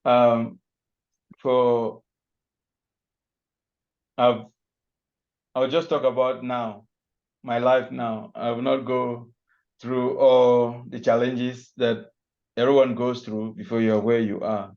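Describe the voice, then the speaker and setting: Man from Cameroon, gravelly voice, loose step-by-step explainer over a dull video call line. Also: medium pitch, little pitch movement, slow, long pauses. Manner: loose, restarting, walks through examples step by step. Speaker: guest cloud security expert. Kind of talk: online panel talk, explaining his job. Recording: muffled call audio.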